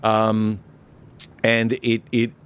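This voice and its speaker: A man's voice: fairly low pitch, completely flat delivery, very slow, a bit bright, slight background noise. A middle-aged Australian man, a broadcaster on a discussion panel, talking emphatically with many fillers and restarts.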